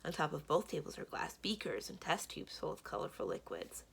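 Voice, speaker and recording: A woman reading a children's book aloud as expressive scripted narration. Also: sits low, a little fast, gravelly, breathy edge. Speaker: library read-aloud reader.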